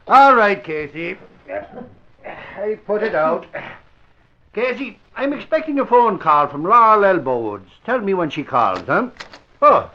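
A man's voice: high voice, noisy background. An actor playing a self-important, bumbling male newspaper reporter in a vintage radio drama. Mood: blustery. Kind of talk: 1950s radio drama acting. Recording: dull vintage radio recording.

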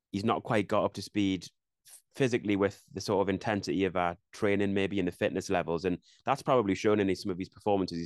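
A young Englishman with a low voice rattles through his opinions at a fast clip, doubling back and restarting as he thinks aloud. He is co-hosting a casual football chat. The sound is dry and close, with almost no room tone. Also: no room sound. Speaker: podcast co-host.

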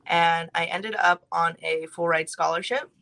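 A young woman from California, a guest on a podcast, recounting her past in a brisk, matter-of-fact manner. Her voice sits a little low yet sounds bright and forceful. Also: fairly even intonation, vocal fry. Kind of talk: telling her life story in an interview.

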